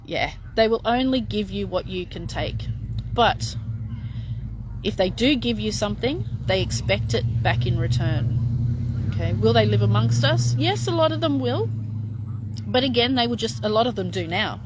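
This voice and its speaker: A woman's voice: medium pitch, expressive, normal speed, noisy background. A middle-aged woman chatting frankly as she answers viewer questions, with lots of fillers and restarts. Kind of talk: casual live Q&A.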